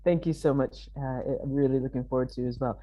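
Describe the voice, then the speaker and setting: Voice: medium pitch, steady tone, fast, warm tone, no echo. A panel moderator speaking courteously and encouragingly while hosting a discussion. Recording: dry, muffled, dull sound.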